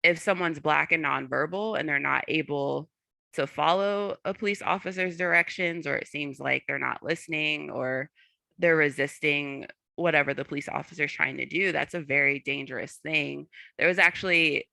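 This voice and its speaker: Young adult panel guest, earnest and candid, gravelly texture, dry close sound, lots of "like" and "um". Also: mid-range voice, moderate pace, sharp tone, no room sound. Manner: frequent "like" and "um" fillers, earnest.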